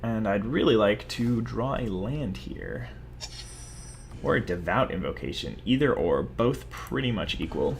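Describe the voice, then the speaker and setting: A man's voice: lowish voice, vocal fry, a little hiss or hum. Chatty young man narrating his card game. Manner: rambling, self-mocking, chatty. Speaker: gaming video host. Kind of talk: casual gameplay commentary.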